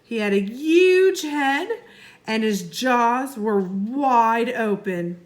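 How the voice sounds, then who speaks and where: A woman, a first grade teacher, reading a storybook aloud expressively and deliberately for young children. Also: a little high, lively intonation.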